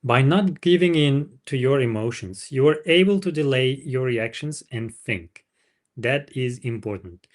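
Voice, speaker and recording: A man's voice: mid-range voice, animated, unhurried. A man reading a book aloud as a livestream host, with informal asides to listeners.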